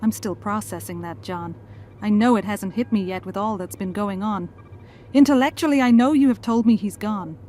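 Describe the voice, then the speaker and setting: A woman's voice: medium pitch, moderate pace, mellow, lightly acted. A woman playing a tired, wry and tender mother in an audio drama. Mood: subdued grief.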